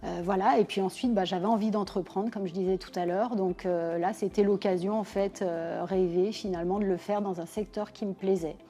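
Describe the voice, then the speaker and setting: A woman in her forties from Lyon, thoughtfully telling her career story in a measured way with frequent pauses. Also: medium pitch, fairly even intonation, warm tone, soft background music. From Lyon, France. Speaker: business owner interviewee. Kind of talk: personal career account to camera.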